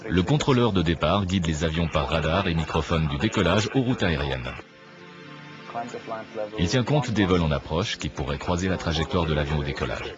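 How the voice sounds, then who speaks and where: A man reading a scripted documentary narration in a measured, didactic manner. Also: low voice, lively intonation, lo-fi sound. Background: clear background music.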